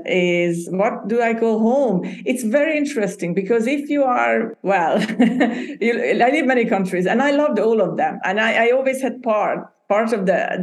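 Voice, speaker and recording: A woman from Hungary who speaks Hungarian as her first language, chatting as a podcast guest and often laughing as she talks. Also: medium pitch. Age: adult. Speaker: podcast guest, agency founder. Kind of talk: casual interview chat.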